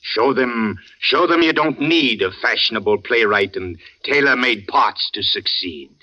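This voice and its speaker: An old theatrical patriarch in a vintage radio drama, proud and devoted. His delivery is grandiloquent and pleading, with big swings of pitch. The recording is dull and aged. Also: animated, measured pace, sharp tone. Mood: earnest pleading. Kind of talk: 1940s radio drama acting.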